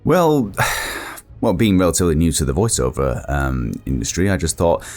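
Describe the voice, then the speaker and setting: A man's voice: deep voice, sing-song, moderate pace, darkish tone, soft background music. A man answering interview questions in casual chat, with a modest, self-deprecating manner. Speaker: guest voice actor.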